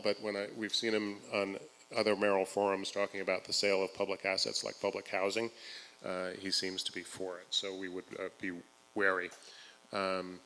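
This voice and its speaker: Bright-voiced man in a very reverberant hall. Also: fairly low pitch. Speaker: forum host and speaker. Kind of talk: public forum address, partly read from a letter. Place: large echoing hall at a public meeting. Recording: reverberant hall public address.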